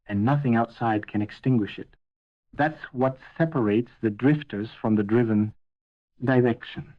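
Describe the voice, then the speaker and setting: A man delivering a scripted motivational speech as a speaker, intense and emphatic, in short punchy phrases. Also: mid-range voice. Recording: narrow phone-like band, thin lo-fi sound.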